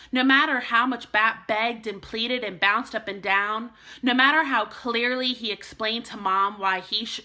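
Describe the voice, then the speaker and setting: A woman with a fairly high, very bright voice reads a children's story aloud. She sounds like an elementary teacher, expressive and clearly speaking to young listeners.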